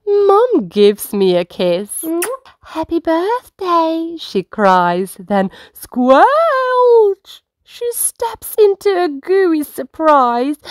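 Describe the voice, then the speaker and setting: Expressive, slow-paced woman reading a storybook. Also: high-pitched, no room sound, studio quality. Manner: dramatic, deliberate phrasing with drawn-out words. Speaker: children's storytime host. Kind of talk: expressive picture-book read-aloud.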